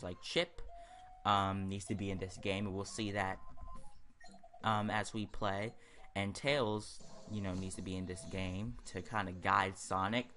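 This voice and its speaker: A man's voice: sits low, pushed and bright. A man giving casual, rambling let's-play commentary, sharing his opinions as he goes. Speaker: host of a gaming video series.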